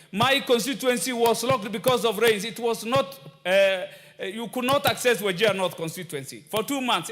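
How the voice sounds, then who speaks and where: A Kenyan man addresses parliament with mounting passion, firing rhetorical questions and repeating his demands. His voice sits high for a man. The long reverb of a large chamber trails behind each phrase. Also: a bit bright. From northeastern Kenya. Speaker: member of parliament. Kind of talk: parliamentary speech.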